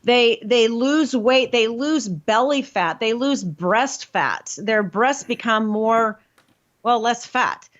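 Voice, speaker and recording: A woman hosting a health talk show, explaining in an emphatic, lively way. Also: on the high side, slow pace. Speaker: host. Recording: slightly dull lo-fi sound.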